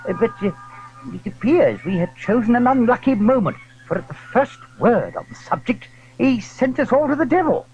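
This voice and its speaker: An actor playing a fussy, obsequious French innkeeper in an audio drama, hesitant and deferential, humming and hawing. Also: male voice, very high-pitched, lively intonation, normal speed, a little mellow. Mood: hesitant complaint. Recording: dull lo-fi 1980s audio drama, faint music.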